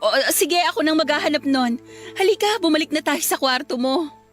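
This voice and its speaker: A woman's voice: high voice, a little fast, faint music behind, lightly acted. A woman with a Filipino accent playing a fed-up wife and caring mother in a radio drama. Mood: covering up.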